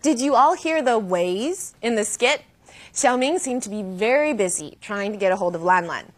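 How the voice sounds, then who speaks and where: A woman hosting a language lesson with scripted, didactic delivery, slowing down to model key phrases. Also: mid-range voice, lively intonation. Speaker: host and language teacher. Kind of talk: scripted educational presenting.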